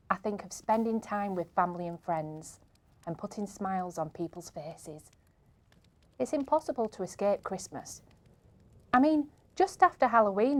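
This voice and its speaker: A woman reading short pieces by children aloud in a gentle storytelling manner. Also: mid-range voice. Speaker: reader.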